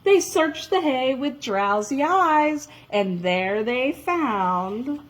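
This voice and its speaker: Slow, animated woman reading a picture book. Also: high-pitched. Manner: dramatic, expressive, with drawn-out emphasis. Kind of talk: animated read-aloud of a children's story.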